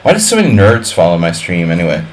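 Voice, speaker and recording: A man with a fairly low voice thinks aloud through a chess simul on his live stream, calling out moves between wry jokes and puns. A faint hum of room noise sits under his voice. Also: wildly swooping pitch, fast. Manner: wry and offhand, chatting with viewers. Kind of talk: live-stream commentary while playing a simul.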